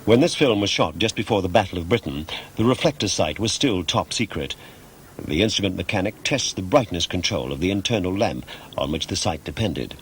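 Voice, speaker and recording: A man with a low-pitched voice delivers measured, scripted documentary narration. He explains things formally and precisely. The track is noisy, with a vehicle-like rumble underneath. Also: animated, a bit bright.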